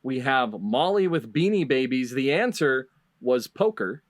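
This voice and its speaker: A man's voice: mid-range voice, very animated, a little slow. A middle-aged man playfully hosting a game show over a video call from indoors. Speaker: quiz show host.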